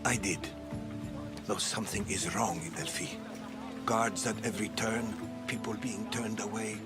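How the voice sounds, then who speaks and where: A man plays a worldly old-world Greek storyteller in a video game. His voice sits somewhat low and he speaks with measured, grave deliberation. Very loud music runs behind him. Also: fairly even intonation, natural voice. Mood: grave, guarded. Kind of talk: video game drama acting.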